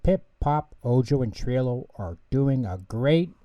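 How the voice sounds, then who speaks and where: A man's voice: medium pitch, lively intonation, unhurried, warm tone, lightly acted. A man voicing a kindly big male bear in a storybook, helpful and reassuring, recorded in an echoey room. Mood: inquiring. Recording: echoey room, distant mic.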